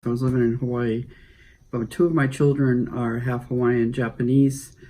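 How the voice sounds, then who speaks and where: A middle-aged man with a mellow, warm tone speaks in a measured, thoughtful way. He weighs his words as he answers interview questions. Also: mid-range voice, steady tone, rough recording.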